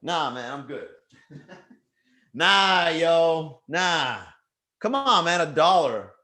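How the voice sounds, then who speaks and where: A man's voice: on the high side, big pitch swings, very slow, sharp tone. A man with a Miami accent hosting a comedy livestream, riffing loosely and joking with his chat. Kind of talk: casual livestream stand-up riff.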